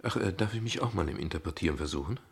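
A father in a radio drama, guarded and ironic. His voice is low, with plenty of fry and a husky edge. He phrases things formally and deliberately, keeping a dry, courteous defensiveness. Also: animated, heavily acted. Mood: casual, wry. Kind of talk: German radio play dialogue acting.

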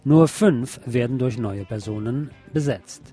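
A man's voice: mid-range voice, unhurried, warm tone, husky. A man presenting news items as a scripted magazine-style read. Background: music.

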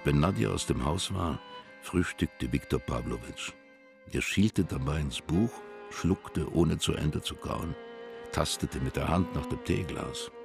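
A man's voice: a bass voice, a little slow, creaky, music in the background. A man narrating a literary German radio drama from a script, as its storyteller.

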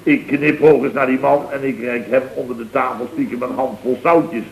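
A man's voice: medium pitch, fairly even intonation, moderate pace, vocal fry, a crowd in the background, close to an everyday voice. Man playing blustering middle-aged boss, self-important, indignant, rambling rhetorical questions, vintage radio comedy, muffled recording. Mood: indignant, mocking. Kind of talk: 1970s radio comedy acting.

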